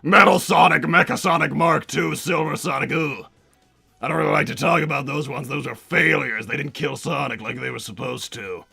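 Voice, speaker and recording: Bombastic cartoon villain scientist, middle-aged male, forceful, gravelly delivery, exclaiming theatrically in a livestream Q&A, music underneath. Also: a little high, moderate pace, full character voice. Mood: blustering, bemused. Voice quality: gravelly, raspy. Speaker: livestream host in character. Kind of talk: improvised in-character livestream Q&A, reading out viewer messages.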